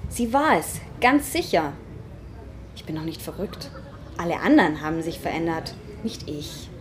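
A woman's voice: low-pitched, big pitch swings, moderate pace. A woman playing a confused, insistent young woman in an amateur radio play, with some noise on the recording. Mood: brooding.

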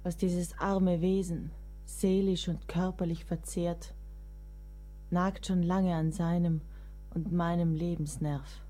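An actress playing a proud, resigned middle-aged woman writer of the 19th century in a German radio play, measured and restrained. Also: fairly low pitch, level tone, dark tone, soft background music. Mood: grave. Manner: measured, literary, restrained delivery.

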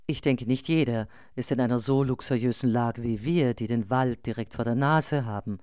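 A woman reading as a thoughtful, nonconformist mother in a radio collage, answering online posts in a measured, reflective way. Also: a bass voice, mellow, no room sound, studio quality.

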